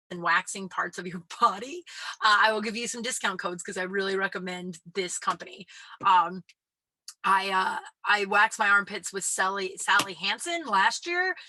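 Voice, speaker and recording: A woman's voice: medium pitch, sharp tone, dry recording, poor audio. A young woman hosting her own podcast, talking candidly and casually, swearing freely and using lots of filler words. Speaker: podcast host. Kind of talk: casual chat.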